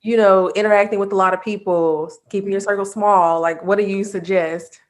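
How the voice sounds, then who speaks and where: A woman's voice: medium pitch, a little fast. Young woman hosting casual chat. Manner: relaxed questioner, many "like" fillers. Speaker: host, first-year law student.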